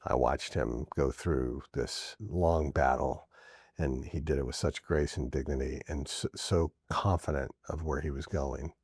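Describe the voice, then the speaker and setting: Very deep, husky middle-aged man. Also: steady tone, measured pace, darkish tone, no echo, clean studio sound. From New Orleans. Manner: earnest, reflective, with frequent restarts. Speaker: podcast guest. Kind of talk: casual interview talk.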